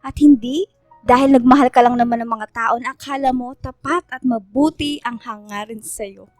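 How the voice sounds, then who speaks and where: A woman's voice: a little high, fairly even intonation, moderate pace, darkish tone, no echo, natural voice. A woman playing a frank, caring young woman in a radio drama, speaking bluntly and with emphasis over music. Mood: tender but frank. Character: frank, caring young woman confronting her best friend.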